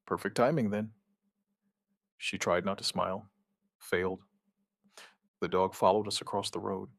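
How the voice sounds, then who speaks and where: An actor playing a shaken outbreak survivor, giving his account in halting, somber, dramatic narration with short deliberate phrases. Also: low voice, fairly even intonation, vocal fry, dry recording, studio quality. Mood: quietly determined. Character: shaken male outbreak survivor recounting events, dryly stubborn. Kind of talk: dramatic first-person scripted narration, witness account.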